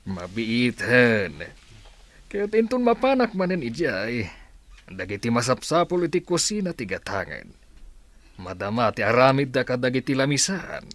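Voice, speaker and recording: Animated, bossy Ilocano father, a man acting. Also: mid-range voice, unhurried, no echo. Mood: instructing. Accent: Ilocano Filipino. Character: bossy father who owns restaurants. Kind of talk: Filipino radio drama acting.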